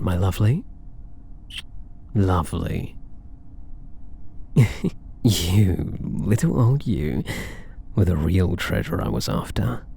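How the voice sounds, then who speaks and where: Slow, low-voiced British catboy thief, acted by a man. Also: gravelly, mellow, slightly rough, full character voice. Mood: adoring, teasing. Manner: slow, coaxing, teasing, theatrical endearments. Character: possessive, smug, doting catboy cat burglar. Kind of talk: ASMR roleplay, intimate scripted monologue.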